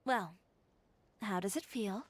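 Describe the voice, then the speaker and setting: A woman's voice: medium pitch, slow, slight background noise, lightly acted. An actress playing a self-assured, proud young female fashion designer in a video game, with a poised, wry delivery. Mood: wistful, relaxed. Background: soft game music.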